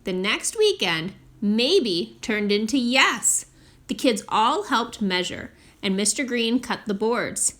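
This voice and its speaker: A woman reading a children's picture book aloud in an expressive, deliberate storytelling manner with drawn-out emphasis. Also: on the high side. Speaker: storytime reader.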